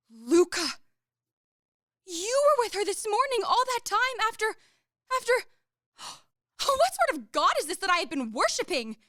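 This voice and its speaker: A proud, easily offended young lady of radio drama, played by a woman. Her voice is high, haughty and melodramatic, with cutting sarcasm, in a dry recording. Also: big pitch swings, unhurried, long pauses, bright, forceful tone, no echo, heavily acted. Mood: hurt, accusing. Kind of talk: stage-style radio play acting.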